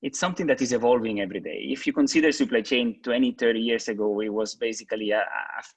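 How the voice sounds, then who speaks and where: A man who grew up in Switzerland, a company executive answering questions in a webinar, talking haltingly with many restarts. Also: mid-range voice, husky, no room sound. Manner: hesitant, many restarts and fillers. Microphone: video call.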